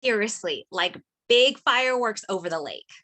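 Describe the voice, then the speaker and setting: A woman playing a brash, excitable, foul-mouthed teenage girl in a drama reading. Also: mid-range voice, moderate pace. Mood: excited.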